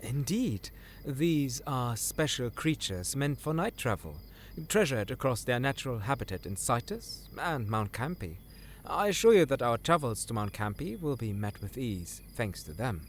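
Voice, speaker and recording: A man's voice: mid-range voice, very animated, moderate pace, lightly acted. Guy acting as a humble royal scholar, very up n down delivery, formal, bit hesitant, faint bug noise behind. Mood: assuring. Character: earnest, deferential male scholar serving royalty. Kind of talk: fantasy audio drama acting.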